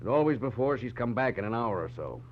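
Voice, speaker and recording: An actor playing a grim, brusque and anxious husband in a 1940s radio drama. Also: male voice, medium pitch, lively intonation, fast, close to an everyday voice. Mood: urgent, grave. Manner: brusque, urgent. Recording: dull, faintly noisy old radio recording.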